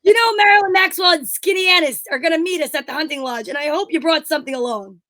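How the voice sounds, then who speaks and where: A woman's voice: sits high, fast talker, pushed and bright. A woman playing the bossy, exasperated straight man of a 1940s-style radio comedy duo. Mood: bossy, brisk.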